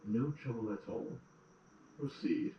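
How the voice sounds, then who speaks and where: A man's voice: mid-range voice, unhurried, heavy reverb, recorded from a distance, muffled recording, slight background noise, close to an everyday voice. A man playing a condescending, falsely polite changeling in a fan audio drama. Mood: mock polite. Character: smug, mocking male changeling colony dweller.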